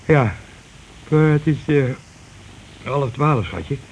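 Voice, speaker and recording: An actor playing a coarse, cocksure scheming husband in a radio play, talking insistently and impatiently in colloquial speech. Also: male voice, fairly high pitch, lively intonation, slow. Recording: noisy old radio drama recording.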